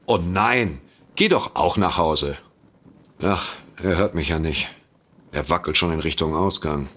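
An actor playing a sardonic, sceptical detective in an audio drama, dry and sarcastic. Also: male voice, low-pitched, unhurried, fairly bright tone. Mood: puzzled. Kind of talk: German audio drama acting.

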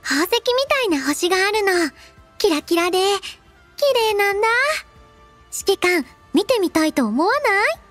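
Cute cheerful robot girl, anime game character, very high voice, lively swooping intonation, playful and devoted. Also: measured pace, faint music behind, full character voice. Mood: bright, eager. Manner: cutesy, refers to herself in third person, expressive.

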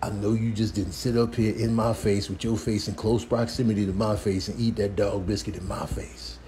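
Man as an aggrieved slangy dog, lively delivery. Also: a little high, brisk, echoey room, slight background noise. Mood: incredulous. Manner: incredulous, repetitive complaining. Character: slangy aggrieved pet dog, betrayed over treats. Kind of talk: comic animal voiceover.

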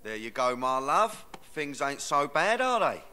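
Matey English game hustler, lively pitch, sharp bright tone, cocky, slangy. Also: male voice, a little slow. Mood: breezy. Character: cocky, chummy British male music hustler. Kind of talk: video game cutscene acting.

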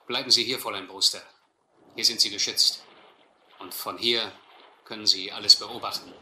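An actor playing a courteous, humane gentleman sailor in a radio play, speaking politely and earnestly. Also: fairly low pitch, fairly even intonation, normal speed. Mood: attentive. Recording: echoey old recording with faint noise.

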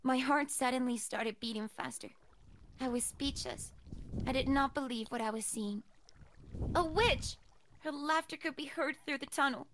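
Woman to play a questing adventurer telling their own story in a video game, high register, noise behind. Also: normal speed, fairly bright tone. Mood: earnest storytelling. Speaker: story narrator. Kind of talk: scripted first-person narration. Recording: clear background noise.

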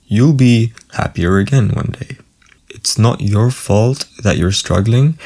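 A man playing a friendly, gentle sleep paralysis demon in a casual, soothing, reassuring ASMR boyfriend roleplay. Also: lowish voice, vocal fry. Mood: comforting, encouraging.

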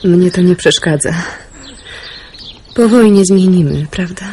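An actress playing a tender, devoted young fiancée in a radio drama, intimate and playfully chiding. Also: female voice, lowish voice, unhurried, slight background noise, natural voice. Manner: intimate, expressive. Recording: clear birdsong behind.

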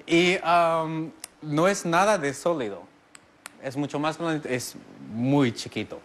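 A man, a TV guest who explains things calmly and jokes back in a casual studio interview. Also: fairly high pitch, a little slow. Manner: easygoing, jokes back, describes objects step by step. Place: large TV studio set. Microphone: lapel. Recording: faint studio noise.

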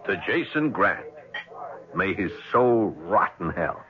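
Very deep-voiced vengeful war veteran. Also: male voice, sing-song, slow pace, exaggerated voice. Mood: bitter. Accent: American. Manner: intense, goading. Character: bitter, vengeful male war veteran plotting murder. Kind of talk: 1970s radio drama acting. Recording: dull old radio broadcast.

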